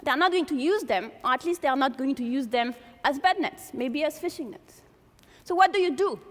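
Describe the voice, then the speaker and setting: High-voiced woman with a French accent. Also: animated, a little fast. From France. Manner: poses many rhetorical questions to the audience. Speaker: speaker giving a talk to an audience. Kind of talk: public lecture. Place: large lecture hall with an audience. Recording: long hall reverb.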